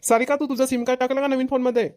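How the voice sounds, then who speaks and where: A woman's voice: high voice, flat delivery, rapid-fire, a little mellow, no echo, clean studio sound, close to an everyday voice. A woman voicing an eager, smitten young fiancé in a cartoon comedy. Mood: eager, inquisitive. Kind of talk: Marathi cartoon comedy dialogue.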